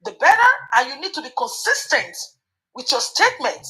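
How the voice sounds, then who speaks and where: A woman's voice: sits high, very animated, brisk, pushed and bright, rough recording. A woman hosting a commentary chat, hesitant with fillers at times, then pointed and emphatic. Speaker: host.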